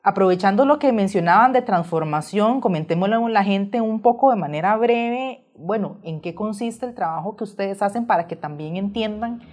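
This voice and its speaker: A woman's voice: mid-range voice, lively intonation, normal speed, hardly any pauses, a little mellow. Woman hosting a podcast. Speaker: podcast host.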